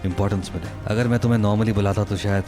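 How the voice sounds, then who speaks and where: An actor playing a smug, spiteful rich cousin in an audio drama, menacing and mocking under a false gentleness. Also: male voice, lowish voice, steady tone, fast, natural voice. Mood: falsely conciliatory. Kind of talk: Hindi audio drama acting. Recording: dramatic music behind the voice.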